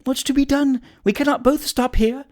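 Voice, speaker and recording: A nervous little woman from a comic storybook, acted out by a narrator, pitched high. She is gossipy and easily horrified, exclaiming in trembling alarm. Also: animated, normal speed, natural voice. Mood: frantic.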